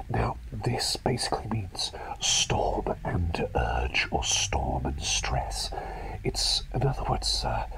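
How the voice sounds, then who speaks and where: Eccentric plague doctor, acted by a man, very deep breathy whisper, soothing, hesitant, ASMR roleplay. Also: heavily acted, poor audio. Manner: hesitant, muttering, gently soothing and coaxing. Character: eccentric, doting plague doctor who experiments on patients.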